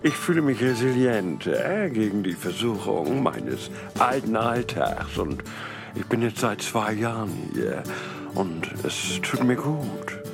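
A man playing a long-stay spa clinic patient who gives a halting, gravelly testimonial in a mock promotional video. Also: lowish voice, big pitch swings, music in the background, heavily acted. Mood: earnest, halting.

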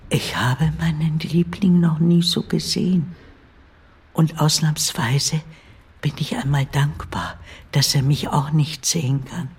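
An actress playing the tender ghost of an older nanny and servant woman in a radio play, hushed and deliberate. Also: low voice, husky, exaggerated voice. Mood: hushed, haunted. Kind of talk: German radio play narration.

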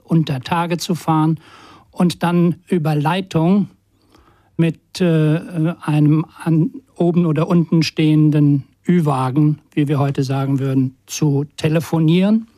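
A man recollecting in an interview style, halting, with pauses between his phrases. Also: fairly high pitch, steady tone, warm tone, no room sound.

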